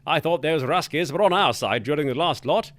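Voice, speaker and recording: A man's voice: a little high, animated, a little fast, a bit bright. A man hosting a spoof 1940s wireless hour, his patter jolly and mock-pompous. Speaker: radio show host. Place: studio.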